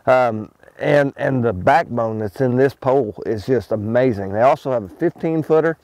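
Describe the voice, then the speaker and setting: Middle-aged male angler, outdoors on a lake boat, relaxed instructional talk with frequent fillers. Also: medium pitch, moderate pace, no echo. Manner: relaxed, explains as he fishes, many ums and restarts. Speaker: fishing pro. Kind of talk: casual how-to fishing talk.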